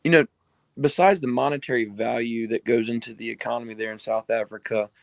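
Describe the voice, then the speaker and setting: An American man speaks at a middle pitch, recorded close to the mic in a dry, dead space. He tells his stories in an easygoing way, full of "I mean" and "you know". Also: steady tone, fairly quick, creaky, no room sound. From United States. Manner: storytelling, many fillers like "I mean" and "you know". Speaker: podcast guest. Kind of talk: casual podcast conversation.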